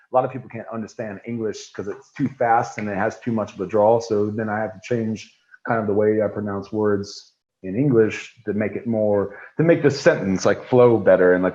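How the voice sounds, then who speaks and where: A man's voice: fairly low pitch, darkish tone, muffled recording. A man, a metal singer and podcast guest, with an American accent touched by Southern and Swedish sounds, talking in a rambling, laid-back way. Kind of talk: casual chat.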